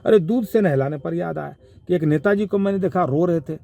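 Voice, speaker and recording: A man with a Bihari accent giving informal spoken commentary in a measured, halting, explanatory way. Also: fairly high pitch, vocal fry, mellow. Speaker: commentator giving his analysis of a viral call.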